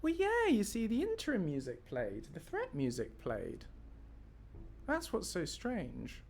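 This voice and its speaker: A man's voice: high voice, wildly swooping pitch, unhurried, vocal fry, a little mellow, slight background noise. A man hosting a livestream as a game mod developer, talking casually and muttering to himself as he works through problems. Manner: thinking aloud, muttering to himself. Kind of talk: casual livestream talk while troubleshooting.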